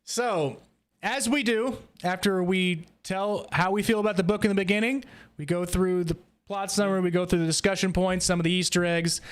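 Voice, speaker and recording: Clear-voiced man hosting, slightly high pitch. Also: steady tone, normal speed. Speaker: host of a book discussion podcast. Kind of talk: casual roundtable discussion.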